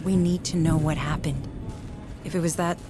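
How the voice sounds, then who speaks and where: An actress playing a weary, duty-bound colony administrator in a game, direct and authoritative. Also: female voice, sits low, fairly even intonation, moderate pace, noisy background, natural voice. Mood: stern, probing. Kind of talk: cinematic game voice acting.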